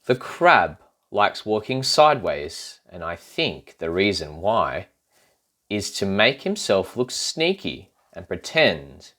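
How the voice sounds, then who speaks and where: A man reading a children's rhyming picture book aloud in an expressive, playful way, with asides to young listeners. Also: low-pitched, slow. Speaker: storybook reader.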